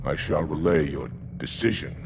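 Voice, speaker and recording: An actor playing a proud, stern alien warrior commander in a video game, speaking formally and with command. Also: male voice, rumbling low, lively intonation, moderate pace, creaky, noisy background, heavily acted. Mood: restrained indignation. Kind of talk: dramatic video game cutscene acting.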